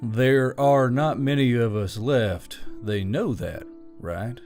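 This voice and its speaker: A man voicing a gruff, dry, weary military commander in an audiobook. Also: medium pitch, slow pace, darkish tone, soft background music. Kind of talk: audiobook character acting.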